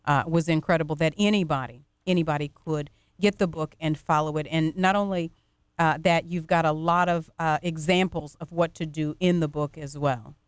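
A radio host with a smooth, resonant voice recorded dry and close. The host keeps the guest going with steady affirmations and brief questions. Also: mid-range voice, normal speed, no echo. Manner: affirming, frequent backchannel. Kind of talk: interview.

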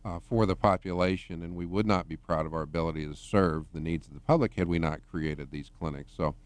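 A middle-aged man with a very deep voice talks through a radio interview as a healthcare administrator. He speaks in a measured, halting way, restarting his sentences often. The recording is smooth and dry, with no room sound. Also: steady tone, dry recording. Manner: measured, hesitant with many restarts.

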